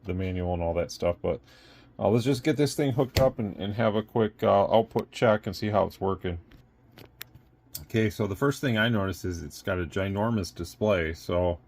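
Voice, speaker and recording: Male product reviewer, deep and mellow, unscripted and casual, rambles while thinking aloud. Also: normal speed, slight background noise. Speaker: product reviewer and radio seller.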